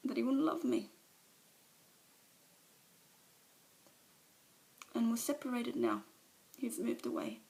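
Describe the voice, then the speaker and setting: A woman's voice: fairly low pitch, close to an everyday voice, low-quality recording. A woman performing a monologue as a remorseful recovering alcoholic mother, speaking confessionally and sometimes dropping to a whisper, recorded on a phone camera.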